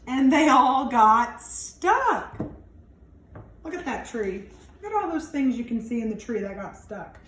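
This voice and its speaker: Woman, fairly high voice, expressive children's storyteller, drawn-out emphasis, chatty asides, slightly noisy room. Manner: animated, drawn-out emphasis, wondering aloud to young listeners. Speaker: storyteller reading a picture book to children. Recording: echoey room with some background noise.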